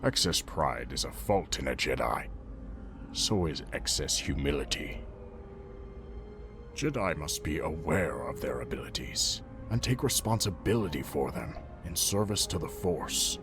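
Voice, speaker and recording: A man voicing a stern, wry old alien Jedi master in a comic dub, speaking formally and deliberately over music. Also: extremely low pitch, expressive, exaggerated voice. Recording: loud music under the voice.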